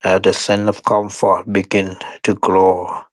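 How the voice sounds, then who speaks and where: A man teaching guided meditation in short, unhurried phrases with pauses and non-standard English grammar. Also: deep voice, monotone, dry recording.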